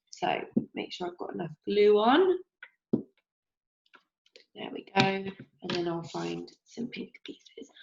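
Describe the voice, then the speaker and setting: A young woman teacher with a slightly croaky voice, gently leading a craft lesson for young children and asking them questions as she goes. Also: mid-range voice. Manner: slow, encouraging, asks children questions. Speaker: primary school teacher. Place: home kitchen, indoors. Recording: roomy, dull lo-fi sound.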